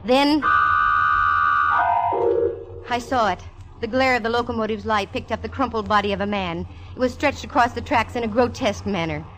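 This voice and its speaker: A woman's voice: fairly high pitch, normal speed, long pauses, natural voice, low-quality recording. An actress as a sassy 1940s radio lady detective. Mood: tense narration. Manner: wry, punchy delivery. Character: sassy, wisecracking female private eye narrating her case. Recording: vintage radio broadcast with music behind.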